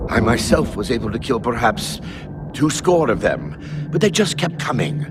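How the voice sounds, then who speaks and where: An actor playing a brash, vain young knight in a fantasy game, declaiming in a grandiose, theatrical manner. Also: male voice, medium pitch, big pitch swings, moderate pace, background noise, natural voice. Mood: pompous, rallying. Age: young adult. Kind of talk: fantasy video game acting. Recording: music behind the voice.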